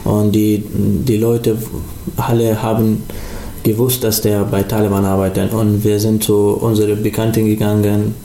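A young man from Afghanistan, speaking German as an as a guest in a radio interview, halting and full of restarts. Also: lowish voice, level tone, creaky, dark tone, roomy sound, a little hiss or hum. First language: not German. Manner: halting, many restarts and fillers. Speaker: studio guest.

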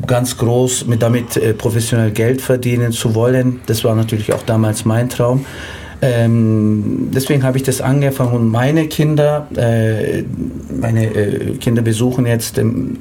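Mellow, hesitant man. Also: medium pitch, slight background noise. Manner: hesitant, halting, repeats words. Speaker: interview guest, amateur actor. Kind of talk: conversational personal storytelling.

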